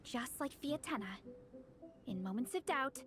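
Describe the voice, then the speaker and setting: High-voiced, peppy young athlete girl. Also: animated, measured pace, a bit bright. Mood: encouraging. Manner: upbeat, earnest, enthusiastic. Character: peppy, encouraging young woman athlete who loves food and comics. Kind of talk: video game character acting. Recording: game music under the voice.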